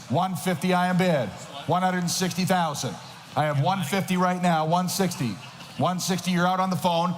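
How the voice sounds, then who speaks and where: A man working as an auctioneer, calling bids in a rapid rhythmic chant and shouting the numbers. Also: high-pitched. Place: large auction hall. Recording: noisy reverberant hall.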